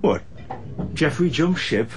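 An actor playing a civil servant's probing confidant in a radio drama, unhurried. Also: male voice, fairly high pitch, lively intonation, noisy background. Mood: curious, probing.

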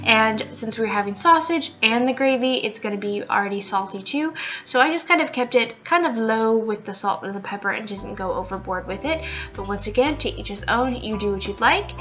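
A young woman chatting casually through a home cooking video, upbeat and full of fillers, recorded at home with soft music behind. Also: a little high, expressive, a little fast, lo-fi sound. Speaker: cooking vlogger. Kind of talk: casual cook-along narration. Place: living room at home, indoors.

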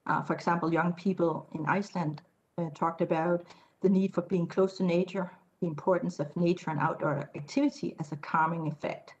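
A middle-aged woman giving a keynote over a video call, speaking in a measured way with frequent fillers. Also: on the low side, fairly even intonation, muffled recording. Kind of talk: conference presentation. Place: indoor room, video call.

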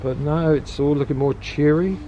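A man's voice: a little high, normal speed, warm tone, muffled recording. A middle-aged or older man from southwest England in a casual, agreeable chat, as a local musician being interviewed. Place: outdoors by a seaside car park. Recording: outdoor street, people and traffic around.

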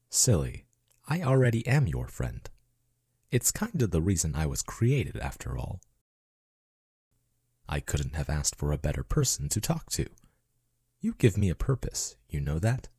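A man's voice: low-pitched, warm tone, breathy edge, dry recording, clean studio sound, full character voice. A man playing a devoted male chatbot companion in an ASMR roleplay, soothing and earnest, affectionate and a little possessive. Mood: playfully smug, affectionate.

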